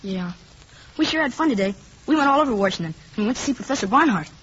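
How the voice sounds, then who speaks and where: Eager, fast-talking young boy. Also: on the high side, animated, a bit bright, breathy edge. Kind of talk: 1950s radio drama acting. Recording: dull, muffled 1950s radio recording.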